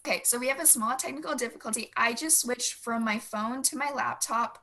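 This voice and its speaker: Friendly woman host, slightly high voice. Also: fairly quick, bright, forceful tone. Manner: friendly, reads out questions with filler ums. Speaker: webinar host. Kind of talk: hosting a Q&A.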